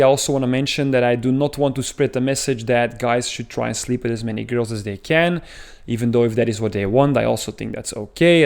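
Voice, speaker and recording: A man's voice: medium pitch, gravelly, darkish tone, clean tone. A man from Belgium with a thick Belgian accent, talking earnestly to camera as a dating coach, explaining at length with frequent filler sounds. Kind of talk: talking-head advice video.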